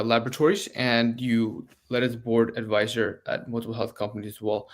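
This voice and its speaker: A man hosting an interview, asking loose, rambling questions with restarts and steady backchannel agreement. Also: medium pitch. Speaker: podcast interviewer.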